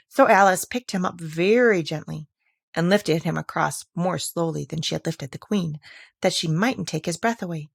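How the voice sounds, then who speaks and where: A woman reading a children's story aloud as an expressive narrator. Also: mid-range voice, creaky, no echo, clean studio sound. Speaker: audiobook reader.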